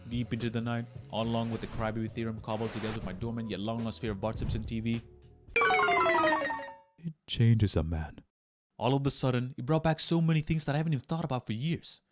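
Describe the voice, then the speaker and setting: A man hosting a comedic scripted video essay, melodramatic and mock-serious, with sudden emphatic bursts. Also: mid-range voice. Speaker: YouTube video essayist and host. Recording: clear music under the voice.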